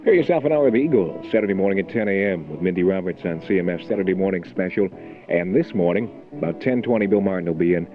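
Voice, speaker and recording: A man with a deep voice works as a morning rock DJ. His delivery is laid-back and conversational. The recording is an old radio aircheck, narrow and tinny, with music playing behind him. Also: lively intonation, fast. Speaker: radio DJ, morning show host. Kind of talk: album rock radio DJ patter with local announcements. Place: radio studio.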